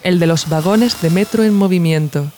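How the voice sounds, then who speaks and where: A woman with an accent from Spain reads a scripted documentary essay in a measured, reflective, formal manner. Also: lowish voice, darkish tone, a little hiss or hum. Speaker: podcast narrator and author.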